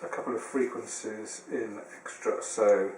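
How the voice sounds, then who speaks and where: A man's voice: lowish voice, steady tone, a little slow. A man presenting a casual how-to demonstration, explaining step by step in a hesitant way. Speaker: tutorial presenter. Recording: roomy, distant mic, faint noise.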